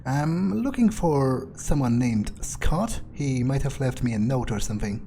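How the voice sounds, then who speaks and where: A man's voice: mid-range voice, animated, a little slow, mellow, a little hiss or hum. A man doing in-character game commentary, thinking aloud in a theatrical, self-assured, musing way. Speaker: gamer playing in character.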